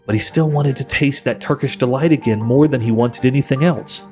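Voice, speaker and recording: A man reading a children's story aloud as an expressive audiobook narrator. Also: medium pitch, brisk, faint music behind.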